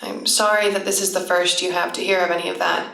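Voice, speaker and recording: Stern no-nonsense lady ship captain, sci-fi audio drama, lowish voice, echoey room. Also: little pitch movement, fast talker, gravelly, bright, forceful tone. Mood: commanding. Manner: firm, matter-of-fact, commanding. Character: stern, principled female starship captain, guarded and authoritative. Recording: roomy echo with music underneath.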